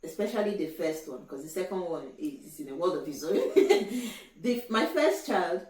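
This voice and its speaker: A middle-aged Nigerian woman co-hosting a casual chat, laughing often and telling lively stories with bits of Pidgin. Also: lowish voice, moderate pace. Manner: laughs often, animated storytelling, slips into Pidgin. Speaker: podcast co-host. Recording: roomy echo, mic at a distance.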